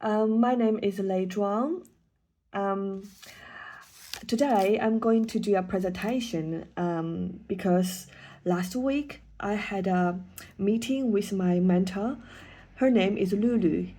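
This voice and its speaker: Woman w/ chinese accent giving a class presentation, slow and mellow, lots of ums. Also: mid-range voice. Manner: hesitant, frequent ums and restarts. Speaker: student teacher presenting an assignment.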